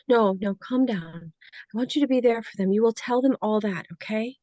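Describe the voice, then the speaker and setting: A woman's voice: fairly high pitch, normal speed, dark tone, no room sound, lo-fi sound, lightly acted. A woman playing a loyal, feisty passenger on a hijacked jet, speaking emotionally in a script read. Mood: reassuring. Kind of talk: screenplay table read acting.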